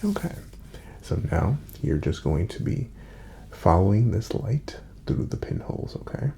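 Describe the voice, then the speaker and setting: A man speaking softly and breathily in an ASMR doctor roleplay, often slipping into a whisper as he gives gentle, reassuring, step-by-step instructions. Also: on the low side, big pitch swings, a little slow, vocal fry, a little hiss or hum. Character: calm, courteous male doctor examining a patient. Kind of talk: soft-spoken ASMR medical exam roleplay.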